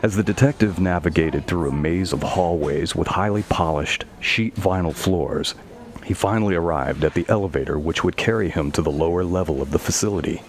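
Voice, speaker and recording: A man's voice: low voice, fairly quick. A man reading scripted narration for a horror audio story.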